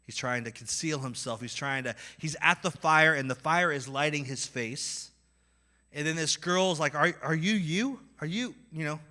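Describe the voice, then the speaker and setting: Midwestern guy preaching, high voice, super bright and sharp, acts out the voices and gets loud then soft. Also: moderate pace. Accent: Midwestern American. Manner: animated, acts out inner voices with swings in loudness. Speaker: pastor. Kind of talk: sermon.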